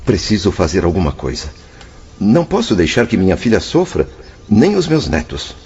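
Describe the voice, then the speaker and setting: A man's voice: sits low, huge pitch swings, normal speed, darkish tone, breathy edge, exaggerated voice. An actor playing a humble, troubled father spirit who recounts his past in a radio drama, earnest, formal and emotional. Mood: anguished. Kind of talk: Brazilian radio drama narration and dialogue. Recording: dull, faintly noisy radio drama track.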